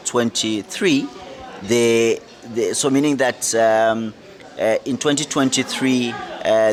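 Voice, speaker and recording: Zambian man with crowd chatter behind. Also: medium pitch. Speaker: journalist. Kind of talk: conversational interview answer.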